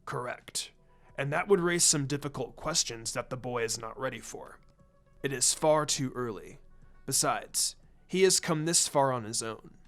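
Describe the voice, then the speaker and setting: Man voicing a grave, low-toned old village leader. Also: a little slow, soft background music, natural voice. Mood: grave, measured. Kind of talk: storybook character voice.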